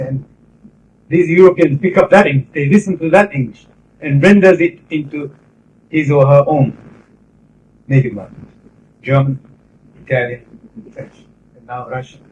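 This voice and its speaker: A middle-aged man with a Japanese accent, lecturing a class in English about interpreting in a measured, explanatory way with pauses between phrases. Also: mid-range voice, steady tone, a little mellow, a little hiss or hum. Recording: roomy, distant, dull 1990s classroom tape.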